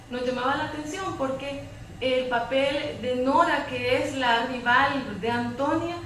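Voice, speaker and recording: A woman's voice: high-pitched, fairly bright tone. A woman shares her views as a panel participant. She speaks spontaneously, punctuating points with little tag questions. The sound is heavily echoed and distant, with a faint layer of noise. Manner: frequent tag questions seeking agreement. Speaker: panel participant in a public debate. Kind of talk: spontaneous discussion commentary. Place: indoor public debate, roomy, picked up from afar.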